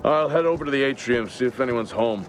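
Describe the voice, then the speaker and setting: An actor playing a tired, sardonic space engineer in a video game, talking in a dry mutter. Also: male voice, mid-range voice, fast talker, slight background noise, lightly acted, rough recording. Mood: musing. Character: wry, tired male space engineer exploring an abandoned mining base. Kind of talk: naturalistic video game acting.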